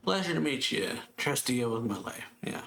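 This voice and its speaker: A man casually commenting as he plays a video game, relaxed and chatty with slangy asides. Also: mid-range voice, normal speed, gravelly, slight background noise. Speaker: gameplay streamer. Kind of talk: casual let's-play commentary. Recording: game music behind.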